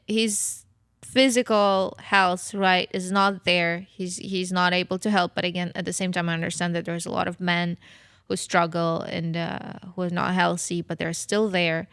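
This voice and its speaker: A woman from Ukraine with a Ukrainian accent, telling her own story casually and a bit haltingly on a podcast. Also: lowish voice, fairly bright tone, clean tone, no room sound, studio quality.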